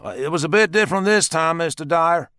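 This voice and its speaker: A courteous American policeman in an audiobook, formal and a bit awkward, with a man putting on the accent. He sits a touch above the narrator's pitch. The sound is dry and close. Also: expressive, fairly quick, fairly bright tone, clean tone, dry recording. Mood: polite.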